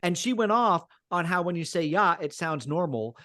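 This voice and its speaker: Casting: man, self-deprecating podcast co-host, slightly raised pitch, dry studio sound. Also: lively intonation, moderate pace, sharp tone, no echo. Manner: self-deprecating, laughs at his own jokes. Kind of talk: casual podcast chat.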